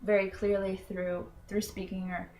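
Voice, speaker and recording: Young woman, college student, hesitant, lots of ums, mid-pitched voice. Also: level tone, moderate pace, a little mellow, slight background noise. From Florida. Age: young adult. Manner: hesitant, full of ums and restarts; earnest and self-conscious. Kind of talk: personal explanatory video.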